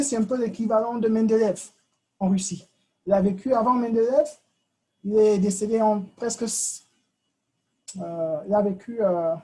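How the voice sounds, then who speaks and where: A man's voice: sits high, warm tone, low-quality recording. A man from Cameroon, giving a short, informal club speech with slides and many hesitations. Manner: informal, hesitant with frequent fillers. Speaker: club member giving the thought of the day. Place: meeting in front of an audience.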